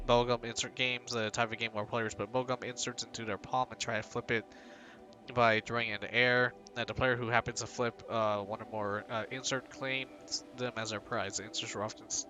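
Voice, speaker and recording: A man streaming a game, reading its narration aloud and drifting into joking, rambling asides full of fillers. His voice is bright and sharp at a middling pitch, with faint music behind him.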